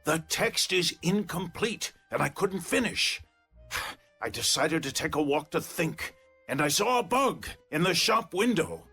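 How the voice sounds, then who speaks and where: Excitable high-pitched gentleman. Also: a little slow, faint music behind, exaggerated voice. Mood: weary, reflective. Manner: theatrical, exclamatory. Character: excitable, dramatic gentleman in a mystery game. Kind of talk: video game character acting.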